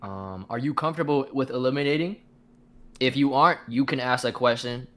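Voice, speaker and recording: A man moderating a call game, giving brisk casual directions. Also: mid-range voice, a bit bright.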